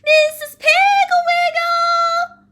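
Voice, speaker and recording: A woman voicing a timid, easily frightened little girl in a children's story, with shrill, anxious exclamations. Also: sing-song, measured pace, heavy background noise, heavily acted. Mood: panicked.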